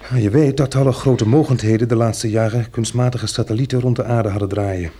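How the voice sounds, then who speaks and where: An actor playing a calm, practical survivor in a radio drama, reasoning in a measured way and soothing like a tender protector. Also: male voice, mid-range voice, slightly rough, natural voice. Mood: grave, reasoning.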